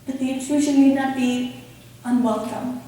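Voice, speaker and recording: A woman playing a composed, witty young gentlewoman in an amateur period stage play, speaking in a measured, formal, pointed way. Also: sits high, steady tone, close to an everyday voice. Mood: cool, pointed. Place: theatre stage, miked from afar. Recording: echoey hall, distant mic, faint noise.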